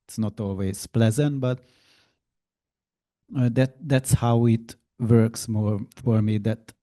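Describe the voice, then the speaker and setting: Slow, very dark-voiced man from Hungary. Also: mid-range voice, fairly even intonation. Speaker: guest, tour guide.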